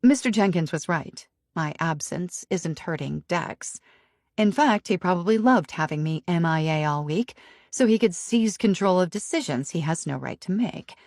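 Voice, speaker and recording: Woman reading a romance audiobook, low voice, emotional, dry close sound. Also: moderate pace, no echo. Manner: expressive, emotionally charged reading. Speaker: audiobook narrator. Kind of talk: first-person romance narration.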